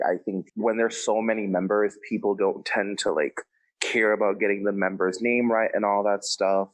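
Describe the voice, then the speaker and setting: Male podcast co-host, deep pitch, casual conversational delivery. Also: lo-fi sound.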